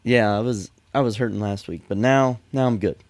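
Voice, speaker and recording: A man with a nasal voice, hoarse and congested from a cold, co-hosting a casual podcast with brief, easygoing replies. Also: fairly low pitch, a little fast, darkish tone, no room sound. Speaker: podcast co-host.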